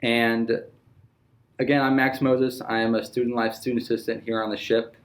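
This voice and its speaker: A man's voice: mid-range voice, little pitch movement, moderate pace. A young man hosting a casual podcast, introducing guests and asking questions with many fillers and restarts. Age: young adult. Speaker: podcast host.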